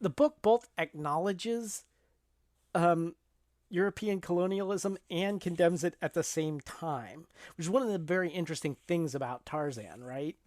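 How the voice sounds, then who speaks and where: A woman's voice: on the low side, normal speed, a little mellow, dry recording, studio quality. A woman co-hosting a podcast, casually discussing books and film in a thoughtful, explanatory way. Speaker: podcast co-host.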